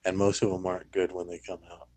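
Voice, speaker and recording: A man's voice: sits low, monotone, brisk, a little mellow, dry recording. A man chatting casually as a podcast panelist, with a blunt, dry way of talking. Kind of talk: casual discussion.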